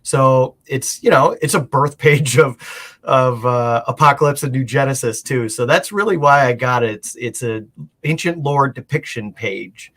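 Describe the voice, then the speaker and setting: A man with an average-pitched voice talks as a guest in a casual chat. He explains things informally, thinking aloud in loose, hedged phrases. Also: moderate pace, a bit bright. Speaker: guest comic art collector.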